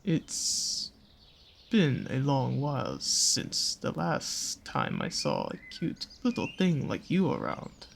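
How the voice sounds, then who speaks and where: A performer voicing a seductive predatory naga with a soft spot for cute creatures, speaking in a teasing, coaxing way in a roleplay audio drama. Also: mid-range voice, wildly swooping pitch, vocal fry, a little hiss or hum, heavily acted. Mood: teasing curiosity. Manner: slow, teasing, coaxing, with pet names and drawn-out pauses. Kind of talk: scripted roleplay audio drama addressed to the listener.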